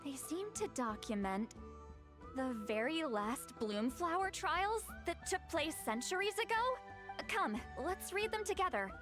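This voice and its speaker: A composed, scholarly guide from a fantasy game, with a high voice that rises and falls widely. She speaks slowly and formally, with music playing behind her. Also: very animated. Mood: studious, intrigued. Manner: measured, articulate, formal. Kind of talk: video game voice acting. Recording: music clearly underneath.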